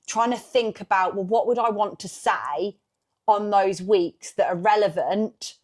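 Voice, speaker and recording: A woman's voice: medium pitch, measured pace. A woman in her thirties co-hosting a podcast, talking casually with lots of fillers. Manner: chatty, frequent fillers. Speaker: podcast co-host. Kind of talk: casual chat.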